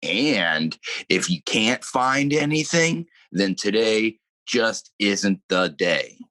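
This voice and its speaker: A man's voice: on the low side, expressive, slow, sharp tone, dry recording, exaggerated voice. A man playing a curt, unimpressed, impatient tattoo artist in a radio comedy. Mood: weary impatient. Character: blunt, impatient male tattoo artist named Ed.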